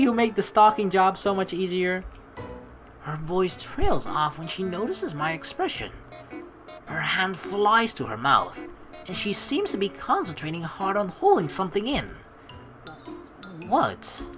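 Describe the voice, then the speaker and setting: Female let's play streamer, lively pitch swings, casual playful reading and reacting over faint music. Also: takes it slowly, pauses often. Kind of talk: gaming commentary mixed with reading game narration aloud. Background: game music.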